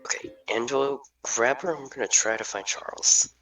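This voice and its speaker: A man's voice: medium pitch, moderate pace, sharp tone, soft background music, natural voice. A man playing a feisty, grumbling teenage schoolboy in an amateur table read. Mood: grumbling, urgent. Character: feisty, grumbling male teenage student eager to fight. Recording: distant from the mic.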